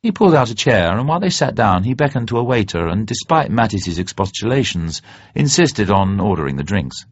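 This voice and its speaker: A man reading a novel aloud as dramatic narration, measured, literary and expressive. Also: on the low side, slightly rough. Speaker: narrator, audiobook reader. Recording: dull, roomy recording.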